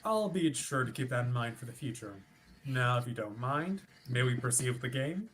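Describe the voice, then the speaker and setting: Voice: medium pitch, lively intonation, normal speed, a bit bright, lightly acted. An actor in a staged play reading playing a chipper, rule-bound ship officer who is formal, wordy and politely stubborn. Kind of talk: staged reading of a play over video stream.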